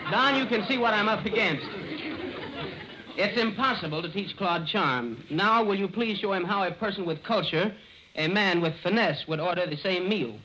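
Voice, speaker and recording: A prim, high-pitched radio fusspot. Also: male voice, lively intonation, moderate pace, crowd noise, noisy background. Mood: pleading, prim. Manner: pompous, pleading. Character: prim, exasperated, flowery-spoken tutor of manners. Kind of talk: 1940s radio comedy acting. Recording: muffled old radio recording, studio audience.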